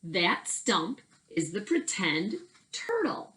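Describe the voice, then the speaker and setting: A woman's voice: mid-range voice, sing-song, slow pace, fairly bright tone. A woman voicing an eager, caring young male muskrat in a storybook, speaking in an emphatic, exclaiming way. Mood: bossy, instructing.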